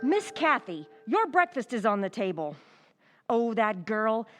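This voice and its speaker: An actress playing a bossy, folksy housekeeper in a radio drama, scolding and easily panicked. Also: female voice, fairly high pitch, very animated, unhurried. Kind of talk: 1940s radio acting. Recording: old-time radio recreation with music.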